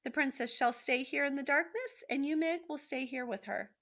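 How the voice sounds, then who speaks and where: A woman's voice: sits high, normal speed, a bit bright, pitched above the performer's own voice. A woman voicing a haughty, cruel male rat villain from a storybook, with formal, sneering speech. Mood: shrill, commanding. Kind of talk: storybook read-aloud character voice.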